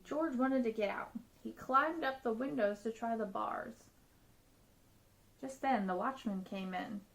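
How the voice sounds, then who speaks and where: Woman, fairly high voice, children's storyteller, expressive read-aloud, dramatic varied delivery. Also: unhurried, vocal fry, poor audio. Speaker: storyteller reading a picture book aloud.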